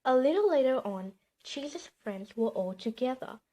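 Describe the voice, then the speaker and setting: A woman reading a children's bedtime story aloud in an expressive, emphatic storytelling manner. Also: sits high, moderate pace, a little hiss or hum.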